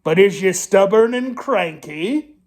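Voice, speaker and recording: A man voicing a cranky, blustering pirate captain in a storybook, with slow, salty pirate speech. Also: high-pitched, expressive, darkish tone, a little hiss or hum, pitched above the performer's own voice. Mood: demanding.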